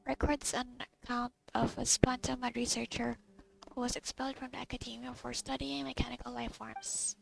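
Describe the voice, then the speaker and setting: Girl gamer rambling to herself, super creaky voice, game music behind. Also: fairly high pitch, flat delivery, moderate pace, poor audio. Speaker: gamer recording a playthrough. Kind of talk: casual gameplay commentary and reading aloud. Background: video game music.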